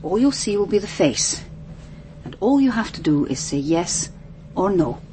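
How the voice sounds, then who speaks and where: A woman acting an earnest policewoman in a radio crime drama, with a mid-pitched voice. She chooses her words gently and a little hesitantly, over faint background noise. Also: sing-song, moderate pace, lightly acted, rough recording. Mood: gentle, careful. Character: tactful, earnest young policewoman.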